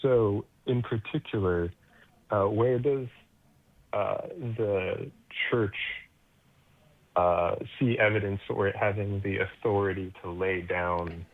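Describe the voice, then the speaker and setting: A man's voice: on the low side, slow pace. An American man from Connecticut calling in with a question, hesitant with many fillers but scholarly. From Connecticut, USA. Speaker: caller. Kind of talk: phone-in question. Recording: tinny phone line.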